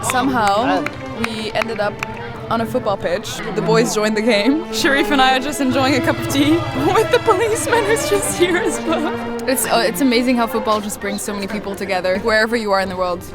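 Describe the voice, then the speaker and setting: A woman vlogging outdoors while cycling on the road, excited and laughing as she comments. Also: a little high, brisk. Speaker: travel vlogger. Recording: outdoor vlog with loud music and crowd noise.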